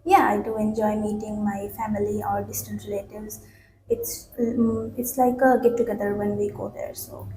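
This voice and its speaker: A woman's voice: medium pitch, level tone, normal speed. A young woman from Bangladesh taking a mock English speaking test, answering with many fillers and restarts. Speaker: IELTS mock test candidate. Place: small office room, indoors. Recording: echoey room with faint noise.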